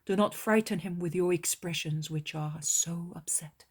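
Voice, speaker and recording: A woman voicing a gentle, calm male teacher in a soft, hushed, near-whispered voice. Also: lowish voice, moderate pace, husky.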